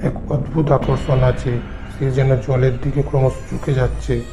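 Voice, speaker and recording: A man's voice: mid-range voice, little pitch movement, normal speed, music in the background. A man narrating a scripted horror story as the narrator.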